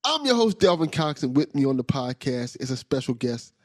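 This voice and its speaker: Hyped-up podcast host guy, kinda husky, voice jumps all over, dry close sound. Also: mid-range voice, big pitch swings, a little fast, no room sound. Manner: enthusiastic, laughs often, repeats words. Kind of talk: casual podcast chat.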